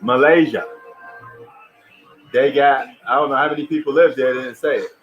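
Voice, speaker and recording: A man's voice: mid-range voice, steady tone, moderate pace, highs cut off, soft background music. Laid-back New man, slangy chat. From New York. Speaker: live stream host. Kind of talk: live stream reaction commentary. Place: at home.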